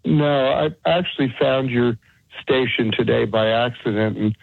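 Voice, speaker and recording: A man in his seventies calling in to a radio show, speaking politely and hesitantly with pauses. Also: mid-range voice, flat delivery, vocal fry, dry recording. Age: 70s. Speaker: caller. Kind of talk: phone-in call. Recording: tinny phone line.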